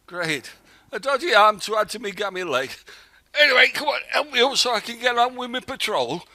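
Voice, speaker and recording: A man's voice: very high voice, animated, normal speed, pushed and bright, no room sound, exaggerated voice. A man playing a blunt, impatient, injured wartime patrolman who grumbles in colloquial speech in an amateur radio play. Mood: pained, impatient. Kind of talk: amateur radio drama acting.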